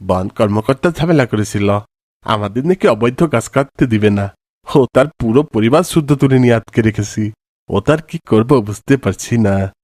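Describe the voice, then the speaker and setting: A man's voice: medium pitch, expressive, moderate pace, a little mellow, no echo, clean studio sound, lightly acted. A man playing a menacing, servile bandit chief in a cartoon. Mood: servile, scheming. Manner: obsequious to his boss, threatening to children. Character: menacing forest bandit chief, fawning to his paymaster. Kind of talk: cartoon voice acting.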